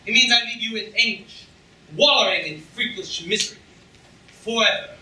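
A young man playing a vengeful, taunting hero in a theatrical staged reading, speaking in a deliberate, declamatory way. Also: high-pitched, bright, forceful tone. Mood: taunting, defiant. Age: young adult. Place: lecture-room stage with audience, filmed from seats. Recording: echoey distant hall recording with noise.

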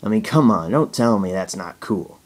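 A young man answering viewer questions in a casual home video, talking haltingly with a stutter, many fillers and restarts. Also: lowish voice, expressive. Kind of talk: casual Q&A talk to camera.

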